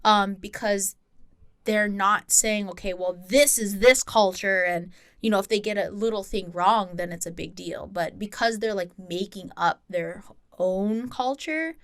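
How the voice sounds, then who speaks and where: A woman's voice: medium pitch, a little slow, no room sound. Woman from hawaii chatting on a podcast, explainy and informal, really dry close sound. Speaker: podcast co-host. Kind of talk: casual podcast chat.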